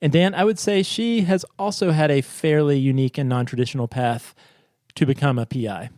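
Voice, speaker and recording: A man co-hosting a podcast, chatting casually and telling a relaxed, self-deprecating story. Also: fairly high pitch, a little fast, no room sound, studio quality. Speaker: podcast co-host.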